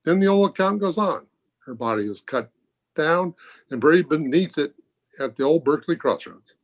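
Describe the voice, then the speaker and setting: A man's voice: fairly high pitch, animated, moderate pace, highs cut off. A man playing a solemn teller of an old ghost legend, grave and storylike, in old-time radio drama style. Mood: grave, cautioning.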